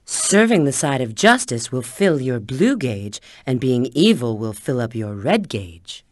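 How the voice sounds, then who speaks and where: A sultry female bat from a video game, sure of herself and teasing as she coaches an ally. Her pitch swings freely from line to line. The sound is dry and close, with a coy, instructive delivery. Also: very animated, unhurried, dry recording, heavily acted. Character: sultry, confident anthropomorphic bat treasure-hunter woman, teasing ally. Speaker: video game character giving hints. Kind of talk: video game voice acting, tutorial prompts.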